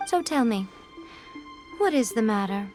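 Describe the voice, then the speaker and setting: A woman's voice: fairly high pitch, animated, a little slow, a little mellow. A woman voicing a sly, self-assured shrine priestess in a video game, teasing and poised with elegant haughtiness. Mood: teasing, coy. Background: game music.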